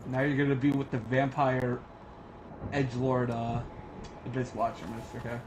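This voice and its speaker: A man's voice: medium pitch, level tone, normal speed, background noise. A young man playing a game on stream, crude, playful and self-mocking, swearing freely. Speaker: guest co-player. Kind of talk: casual gaming chat.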